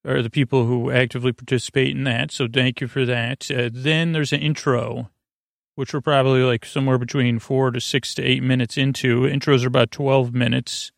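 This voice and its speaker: A man hosting a bedtime podcast in a gravelly, creaky voice, meandering and halting as he drifts off on tangents. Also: medium pitch, level tone, dry recording, clean studio sound. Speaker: podcast host. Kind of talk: rambling bedtime podcast monologue.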